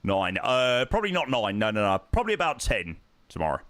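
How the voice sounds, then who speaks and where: A man with a hoarse, croaky voice, chatting casually to his stream audience and rambling with lots of ums. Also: on the low side, wildly swooping pitch, fairly quick, a bit bright, no echo. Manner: rambling, self-interrupting, frequent ums. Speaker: flight sim streamer.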